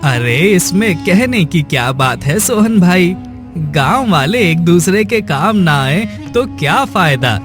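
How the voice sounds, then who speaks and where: Man acting earnest poor farmer, slow, higher voice. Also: big pitch swings, heavily acted. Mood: earnest, kindly. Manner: earnest, pleading when accused. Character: poor hardworking farmer, earnest, honest husband and father. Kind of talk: dramatised moral story for cartoon. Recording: music behind the voice.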